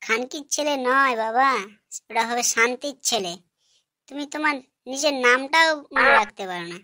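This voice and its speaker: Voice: sits high, moderate pace, pushed and bright, dry recording, clean studio sound. A woman voicing a lazy, insolent grown village son in a comic cartoon, whiny. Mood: cheeky, defiant.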